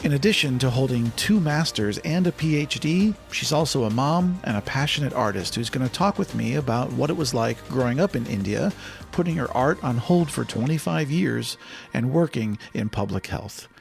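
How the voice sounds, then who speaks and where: A male podcast host with a gravelly, creaky voice at an ordinary pitch. He asks questions in a friendly, enthusiastic way. Soft music plays faintly beneath him. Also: lively intonation, moderate pace. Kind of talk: podcast interview.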